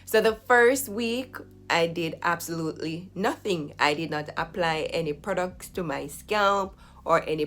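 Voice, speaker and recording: A woman recovering from a flu, her voice slightly hoarse, chatting through a hair care routine step by step to camera at home. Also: sits low. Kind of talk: casual tutorial talk to camera.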